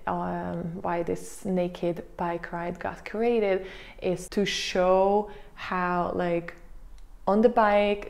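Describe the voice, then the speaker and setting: A young woman vlogging casually to camera from her living room, chatty and full of pauses and fillers, on a lapel mic. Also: fairly low pitch, darkish tone. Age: young adult. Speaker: vlogger host. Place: small living room indoors. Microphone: lapel mic clipped to her top.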